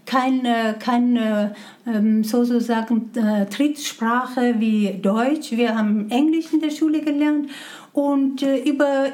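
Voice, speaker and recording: Middle-aged Iranian woman with a Persian accent, high voice, halting non-native German, full of fillers. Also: fairly even intonation, mellow. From Iran. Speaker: interview guest. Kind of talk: conversational interview.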